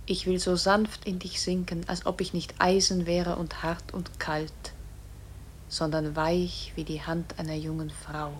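A woman voicing a remorseful iron bullet in a radio play, soft, tender and solemn, pleading for forgiveness. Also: fairly low pitch, little pitch movement, unhurried, slight background noise, close to an everyday voice.

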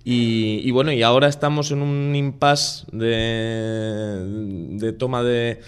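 A man's voice: mid-range voice, takes it slowly, clean tone. A young man from La Mancha, chatting casually as a musician guest in a radio interview, rambling with many restarts. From Spain, La Mancha. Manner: relaxed, rambling with frequent restarts and fillers.

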